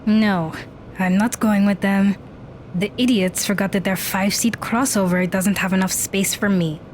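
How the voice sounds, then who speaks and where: A woman's voice: medium pitch, steady tone, normal speed, darkish tone, slight background noise, close to an everyday voice. A woman playing a guarded, blunt teenage daughter in an audio drama. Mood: sullen.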